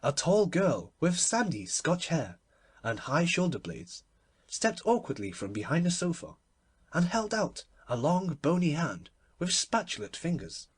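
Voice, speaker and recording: Storybook narrator: animated, expressive reading with a mid-pitched voice. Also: measured pace, husky. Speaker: audiobook narrator.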